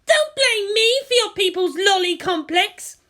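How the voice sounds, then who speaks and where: A haughty, hot-tempered teenage girl from an anime-style story. She is high and piercing, with a defiant, grumbling sulk. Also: expressive, unhurried, a bit bright, exaggerated voice. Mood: angry, whining.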